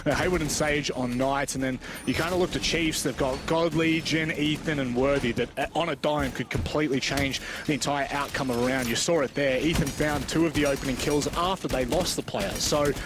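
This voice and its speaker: A man's voice: on the high side, steady tone, a little fast, noisy background. A man giving chatty, colloquial live esports play-by-play commentary. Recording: gunfire and clicks behind voice. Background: video game gunfire.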